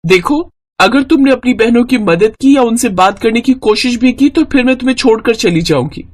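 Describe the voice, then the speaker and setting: Casting: woman as young, snobbish, nagging wife for a moral cartoon; raised pitch, condescending bite. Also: expressive, normal speed. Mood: suspicious, threatening. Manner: sharp, condescending, complaining. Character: haughty, scolding young wife, contemptuous of poor in-laws. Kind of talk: Hindi moral-story cartoon dubbing.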